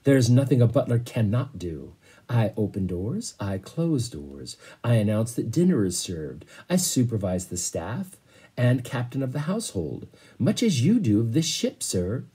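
A man voicing a calm, dignified storybook butler with polite, formal manners. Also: mid-range voice, a little slow, breathy edge, exaggerated voice. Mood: proud, courteous.

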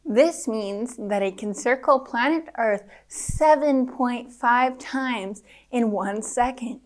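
A young adult woman presenting a children's science lesson, reading scripted simple English deliberately and carefully, with pauses between phrases. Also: fairly high pitch, a little hiss or hum.

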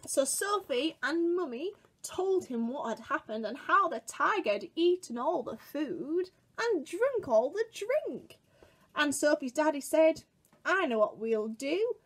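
Very animated British woman reading to children. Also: sits high, a little slow. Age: 20s. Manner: expressive and exaggerated, addressing young children. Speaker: children's museum worker reading a story to children. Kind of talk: animated children's picture-book storytelling. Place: small room at home, indoors.

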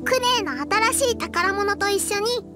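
A woman's voice: extremely high pitch, normal speed. A woman voicing a cheerful, innocent, impulsive little girl in an anime game, with eager, childlike phrasing. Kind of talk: Japanese anime game voice acting. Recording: game music behind the voice.

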